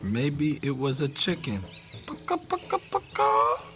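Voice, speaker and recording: A dry, wisecracking soldier. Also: male voice, mid-range voice, wildly swooping pitch, unhurried, bright, forceful tone, a little hiss or hum, full character voice. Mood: wry. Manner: dry, joking. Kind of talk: radio drama acting.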